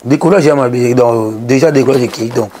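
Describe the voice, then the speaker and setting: A young man talking as a guest in a casual studio interview, animated and repeating phrases for emphasis, on a lapel mic. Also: mid-range voice, moderate pace, slight background noise. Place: small indoor studio set.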